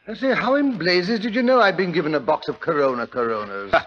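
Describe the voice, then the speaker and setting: Blustering, impatient British doctor sidekick for old radio drama; lively intonation, slightly high voice, muffled vintage sound. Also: male voice, a little fast, a little hiss or hum. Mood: exasperated. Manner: blustering, exasperated, old-fashioned upper-class phrasing. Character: fussy, impatient middle-aged English doctor, loyal sidekick. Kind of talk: old-time radio drama acting and narration. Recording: dull vintage radio recording.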